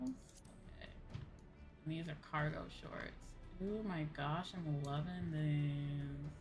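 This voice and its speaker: A woman livestreaming a game in casual, excitable chatter, often exclaiming as she talks. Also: medium pitch.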